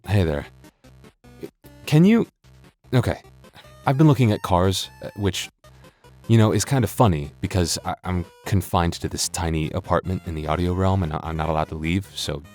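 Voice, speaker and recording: A man's voice: deep voice, normal speed, pauses often, darkish tone, soft background music. An American man chatting casually to his listeners as an audio creator, rambling and joking with exaggerated comic exasperation. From United States. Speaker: audio roleplay creator and voice actor.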